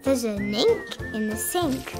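Female read-aloud narrator for children's rhyming books, high voice, playful and very lively, music underneath. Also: takes it slowly. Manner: expressive, playful delivery. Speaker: children's picture book reader.

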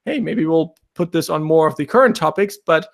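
A man's voice: on the high side, lively intonation, a little fast, vocal fry. A young man from Germany, a native German speaker, hosting a casual podcast chat over a remote call. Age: young adult. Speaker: podcast host. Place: indoor room, remote video call.

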